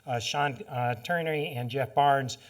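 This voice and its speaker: A man hosting a public forum, giving a formal spoken introduction in a halting manner with restarts. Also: mid-range voice, fairly even intonation. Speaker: event host introducing a speaker. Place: public lecture forum with an audience.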